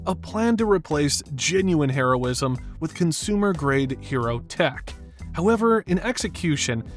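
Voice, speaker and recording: A man delivering scripted narration as the narrator of a video essay. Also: fairly high pitch.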